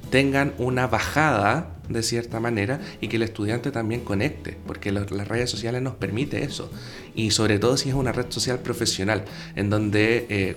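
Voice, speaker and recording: A man with a Chilean accent giving earnest, explanatory answers as an interview guest. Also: medium pitch. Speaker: guest, secondary school science teacher.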